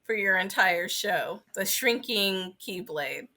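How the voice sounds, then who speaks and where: Sex: woman. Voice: bright, lively, bluntly teasing. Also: mid-range voice, slow pace. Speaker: podcast co-host. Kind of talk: casual chat.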